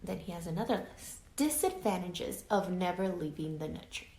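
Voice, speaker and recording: A woman reading a children's picture book aloud like a teacher, deliberate and emphatic, drawing out words and adding explanatory asides. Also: lowish voice, expressive, dark tone. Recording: echoey room, faint background noise.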